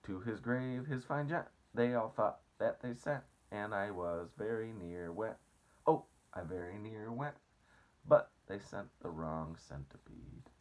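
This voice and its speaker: A man voicing a boastful, excitable centipede in a storybook read-aloud, loud and crowing. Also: on the low side, a little slow, pitched above the performer's own voice. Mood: jubilant, singing. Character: excitable boastful male centipede, singing and shouting gleefully.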